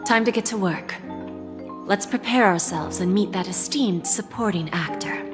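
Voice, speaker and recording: An actress playing a shrewd, composed senior corporate envoy in a video game, speaking in a measured, formal, self-assured way. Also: female voice, medium pitch, lightly acted. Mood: determined. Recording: reverberant hall, music behind.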